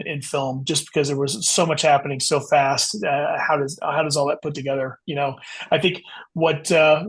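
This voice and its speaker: Slightly husky, higher-pitched man, thinking aloud. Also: poor audio. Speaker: author, podcast guest. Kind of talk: casual podcast interview.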